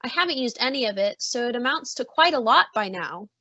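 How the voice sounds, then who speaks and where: A woman playing a doting middle-aged mother in an old-time radio drama, fond and gently persuasive. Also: on the high side, animated, a little fast, no room sound, lo-fi sound. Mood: earnest, coaxing.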